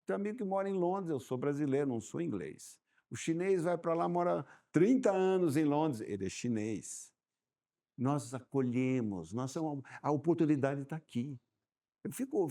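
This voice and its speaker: Dark-voiced man telling stories. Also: on the high side, normal speed. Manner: animated storyteller, repeats words while thinking. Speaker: guest business owner. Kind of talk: casual podcast chat.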